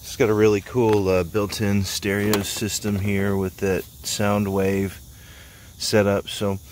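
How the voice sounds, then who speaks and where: A man's voice: lowish voice, monotone, slow pace, a little mellow. An American man giving casual, folksy vlog commentary as the host of his own video. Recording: clear background noise.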